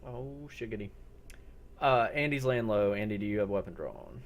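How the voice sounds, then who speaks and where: A man acting as game master, narrating a role-play scene in a halting, descriptive way and putting questions to players. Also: lowish voice, animated, muffled recording, a little hiss or hum. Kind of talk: improvised narration of a tabletop-style zombie role-play.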